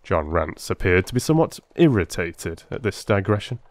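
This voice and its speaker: A man narrates a dramatic audiobook. His delivery is theatrical and scripted, with expressive movement in pitch. The sound is close and dry. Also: normal speed, no room sound.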